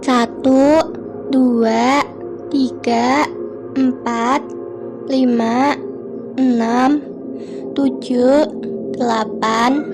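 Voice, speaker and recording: A trusting little girl in a horror cartoon. She speaks high and unhurried, childlike. Music plays under her voice. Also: fairly even intonation. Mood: playful. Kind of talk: cartoon voice acting. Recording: music playing behind.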